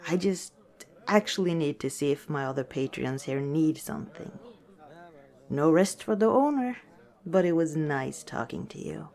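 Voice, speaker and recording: A woman playing a friendly, teasing orc tavern owner in a softly spoken ASMR roleplay, chatting gently and without hurry. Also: deep voice, lively intonation, moderate pace. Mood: playfully teasing.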